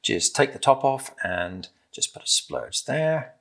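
A middle-aged British man gently and encouragingly demonstrating a craft step by step in simple instructions. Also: medium pitch, animated, slow, creaky. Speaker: craft presenter. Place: indoor room with timber-framed walls, at a table.

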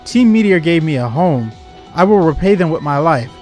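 A man's voice: a little high, lively intonation, normal speed, a little mellow, lightly acted. A man voicing an indignant, loyal young male villain grunt from a video game, speaking earnestly. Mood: hurt, devoted. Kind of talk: voiced reading of game dialogue. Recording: game music behind.

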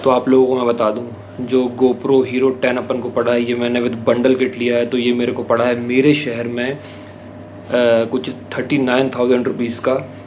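A young man chats his way through his gear, explaining each step in a steady, flat-toned voice. The sound comes off an action camera's mic, slightly dull and lo-fi, with faint traffic noise underneath. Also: mid-range voice, moderate pace. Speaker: motovlogger. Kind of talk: casual gear-review vlog. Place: small home room indoors, later riding a motorbike on city streets. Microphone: action camera with attached mic.

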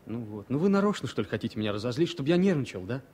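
A fretful, ailing male passenger in a vintage Soviet radio drama acted by a man. His mid-pitched voice leaps about as he whines and insists. Faint noise sits behind him on the old recording. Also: sing-song, rapid-fire, a little mellow, close to an everyday voice. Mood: fussy, pleading. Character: nervous, fussy, pleading ailing male passenger. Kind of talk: 1970s Soviet radio play acting.

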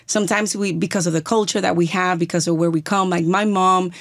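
A woman's voice: on the low side, steady tone, a little fast, no room sound. Earnest Dominican woman, dry close mic. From Dominican Republic. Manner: earnest, encouraging, repeats phrases for emphasis. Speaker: TV co-host, podcast guest. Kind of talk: podcast interview, mixing Spanish and English.

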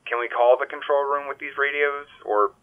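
A concerned male guest, played by an actor on a car intercom in an audio drama. His mid-pitched voice comes through thin and radio-like. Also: steady tone, brisk. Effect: intercom radio filter. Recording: tinny narrow intercom sound.

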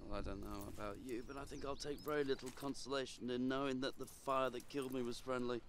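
A man's voice: medium pitch, steady tone, quick pace, slight background noise, close to an everyday voice. A man with a British accent playing a wry, understated soldier and loyal comrade in a war video game. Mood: dry, wry. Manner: dry, understated.

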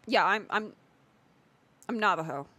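A woman's voice: mid-range voice, a little slow, sharp tone. A young woman voicing a laid-back character in a roleplay, chatting casually with giggles and mumbled trailing sentences. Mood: mumbling. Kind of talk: improvised roleplay.

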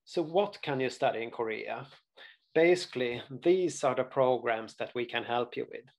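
A man in his forties with a Swedish accent walks viewers through a study abroad webinar from a small room on a webcam. His voice sits at a middle pitch with a gravelly edge. He speaks earnestly and keeps stopping on uh and restarting words. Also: moderate pace. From Sweden. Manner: earnest, frequent uh fillers and restarts. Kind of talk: webinar presentation over slides.

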